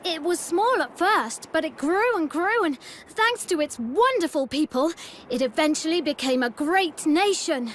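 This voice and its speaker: A woman's voice: high-pitched, lively intonation, unhurried, fairly bright tone, slight background noise. A woman voicing a small, kind, hopeful boy king in a video game, with an earnest way of speaking.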